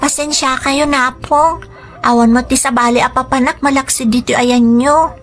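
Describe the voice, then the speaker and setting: A woman's voice: high-pitched, steady tone, normal speed, natural voice. A woman with an Ilocano accent playing an apologetic, submissive daughter in a radio drama, with music underneath. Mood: apologetic, resigned.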